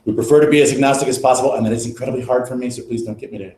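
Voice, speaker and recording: A man's voice: mid-range voice, steady tone, racing, crowd noise. A middle-aged man from the United States, a retired army officer, giving a rambling, earnest conference talk with many fillers. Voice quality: strong voice. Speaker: presenter. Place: echoey event hall. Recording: echoey hall recording with faint noise.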